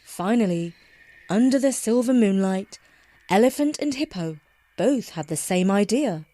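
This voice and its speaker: A woman narrating a scripted children's story in an expressive, dramatic storytelling style. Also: medium pitch, unhurried, no room sound.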